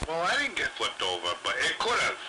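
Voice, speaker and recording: A man's voice: on the high side, huge pitch swings, fairly quick. A man chatting casually over CB radio, swearing freely and often doubling back on his phrases. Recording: very noisy CB radio transmission.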